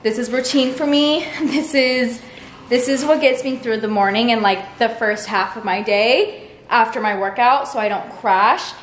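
A woman's voice: on the high side, measured pace. Young woman vlogging in an echoey room. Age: early 20s. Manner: informal, filler-heavy with frequent "like" and "y'all". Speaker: lifestyle vlogger. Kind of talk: casual vlog chat to camera. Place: large, mostly empty room in a house. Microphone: camera at arm's length or on tripod. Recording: echoey bare room with faint noise.

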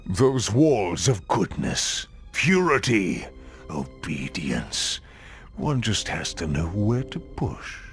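An aged, evil sorcerer from a fantasy game, gloating and domineering. His low voice swings widely through slow, theatrical threats, over clear background music. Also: sing-song, exaggerated voice. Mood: menacing, smug. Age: older. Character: villainous old sorcerer tyrant, gloating, domineering, possessing a wizard's body. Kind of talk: video game cutscene acting.

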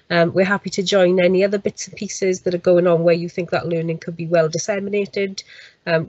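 A woman with a fairly low voice answers politely on a video call, often opening her phrases with "um". The sound is dry and close, but dull. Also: darkish tone, no echo. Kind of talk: panel answer.

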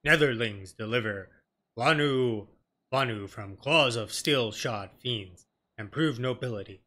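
A man's voice: medium pitch, unhurried, pushed and bright, pitched below the performer's own voice. A man voicing a proud, blustery beastman tribesman in pompous, archaic broken phrasing for a fantasy game. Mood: proud, solemn.

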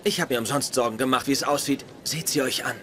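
A man's voice: medium pitch, quick pace, a little hiss or hum, natural voice. A man playing a pompous, socially oblivious young scientist in a dubbed sitcom, pedantic and precise. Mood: blithely self-assured. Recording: TV sitcom audio with loud laughter.

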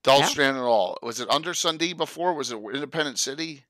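A man's voice: medium pitch, lively intonation, quick pace, fairly bright tone, no echo. A man with a South Jersey accent co-hosting a casual lore discussion, chatty and loose, greeting viewers along the way. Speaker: co-host.

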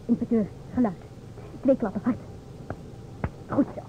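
An actress playing a combative, know-it-all young stewardess in an old radio play, with an emphatic, theatrical delivery. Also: female voice, on the high side, a little slow, natural voice. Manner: emphatic, self-assured, theatrical. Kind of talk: old-time Dutch radio play acting. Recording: old radio recording, narrow tinny band, hiss.

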